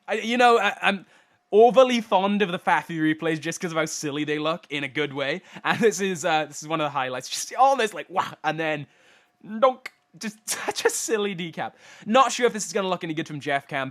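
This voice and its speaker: A young man with a cold talks over game replays with a blocked, stuffy nose. His voice sits a little high and bounces around in pitch. He rambles and laughs at himself when he trips over names. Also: a bit bright. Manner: rambling, self-deprecating, stumbles over names. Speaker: host commentating game replays. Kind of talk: casual replay commentary.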